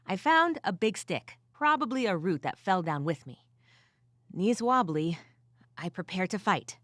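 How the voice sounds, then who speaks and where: A woman's voice: mid-range voice, sing-song, a little slow, fairly bright tone, dry recording, studio quality. A woman voicing a weary but gritty furred female adventurer who tells her story in the first person with wry asides, in an audio drama style. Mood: weary, pained.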